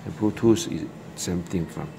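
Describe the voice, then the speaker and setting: A man with a low voice walks through a product in a casual hands-on demo. His explaining is halting and repetitive, and clear noise runs behind him in the indoor recording. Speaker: product demonstrator. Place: indoors at a desk with electronics. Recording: clear background room noise.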